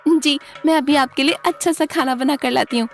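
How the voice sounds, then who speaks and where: A woman doing a high-pitched, dutiful village wife. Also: brisk, soft background music. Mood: eager, curious. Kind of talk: Hindi cartoon story dialogue.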